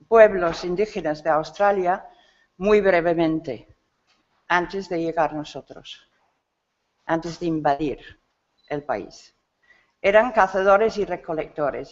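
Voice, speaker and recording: Slow, halting lecturer, dull recording. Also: medium pitch. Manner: halting, many restarts and self-corrections; searches for words in a rusty second-nature language. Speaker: symposium speaker. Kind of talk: academic lecture with slides. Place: conference hall.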